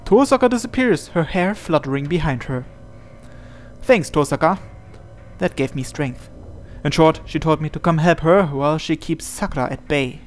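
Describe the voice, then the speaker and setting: A man's voice: fairly high pitch, expressive, moderate pace. A man reading first-person visual novel narration aloud as he plays. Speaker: game player reading aloud. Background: soft game music.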